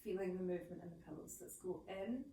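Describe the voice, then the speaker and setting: A woman teaching a gentle voice and breathing lesson, talking softly and reflectively with many fillers, her voice low with morning. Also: fast talker, creaky, a little hiss or hum. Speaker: singing and voice teacher. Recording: echoey room, mic at a distance.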